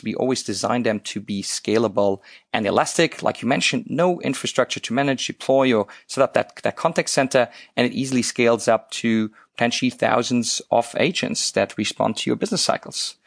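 A man's voice: medium pitch, brisk, gravelly, dry recording. Man from Luxembourg, tech podcast co-host, eager explainer, lots of fillers, dry close-miked sound. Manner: enthusiastic, explanatory, many fillers. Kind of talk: casual tech podcast chat.

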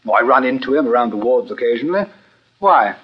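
Husky, easygoing hospital doctor. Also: male voice, mid-range voice, animated, fast talker. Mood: affable, casual. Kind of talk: old radio drama acting. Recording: dull vintage radio recording.

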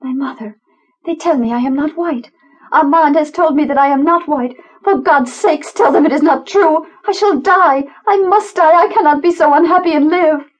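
A woman acts out a gentle, devoted young wife in an audiobook drama. Her voice is very high and full of earnest feeling, as if everything hangs on each word. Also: normal speed, lightly acted, low-quality recording. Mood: despairing. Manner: emotional, pleading, breathless earnestness.

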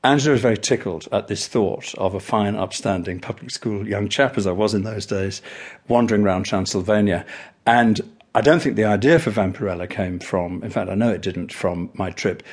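A man's voice: on the low side, brisk, breathy edge. A middle-aged or older man, reminiscing in anecdotes as a documentary interviewee.

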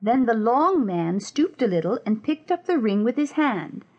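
High-voiced woman narrating a fairy tale. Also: sing-song, moderate pace, lo-fi sound. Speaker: audiobook narrator. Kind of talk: scripted fairy-tale storytelling.

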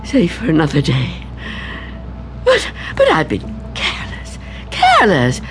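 A fearful, suspicious old woman who has shut herself away, in a radio drama. Her voice swoops sharply between notes at a slow, halting pace, and she frets and repeats herself. Music plays clearly behind her. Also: sits low, wildly swooping pitch, full character voice. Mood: anxious muttering.

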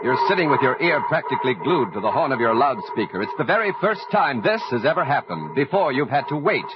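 A man's voice: medium pitch, big pitch swings, brisk, loud music behind the voice. A man giving dramatic scripted narration in mid-century radio style, portentous and addressing the listener directly. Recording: dull vintage radio recording.

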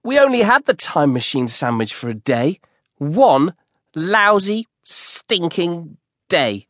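A man's voice: fairly high pitch, very animated, slow, fairly bright tone, no echo, studio quality, voice pushed up, exaggerated voice. A man voicing a dramatic ten-year-old boy who complains in a whiny, exaggerated way. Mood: dejected.

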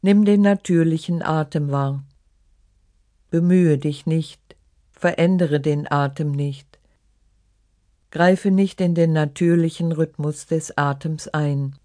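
A meditation guide reading a scripted relaxation in short, measured, instructive phrases. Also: mid-range voice, steady tone, dark tone, dry recording, studio quality. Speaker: yoga nidra meditation guide.

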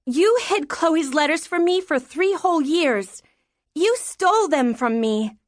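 A woman's voice: high-pitched, big pitch swings, slow pace, a bit bright, no echo, studio quality, voice pushed up, heavily acted. A woman voicing a defiant, indignant eleven-year-old girl in an audiobook story, with emphatic outbursts. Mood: angry, indignant.

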